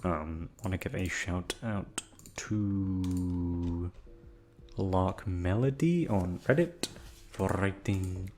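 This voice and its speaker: A man's voice: low-pitched, extremely slow, a little mellow. A man speaking casually as a channel host, in a rambling, offhand way. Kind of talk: casual outro thanking listeners.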